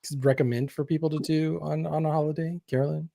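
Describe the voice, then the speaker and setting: A man hosting a live podcast over a video call, relaxed and loose, with frequent fillers and short laughs. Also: on the high side, fast talker, vocal fry, no room sound, clean studio sound. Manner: relaxed, with many fillers and chuckles. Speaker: podcast host.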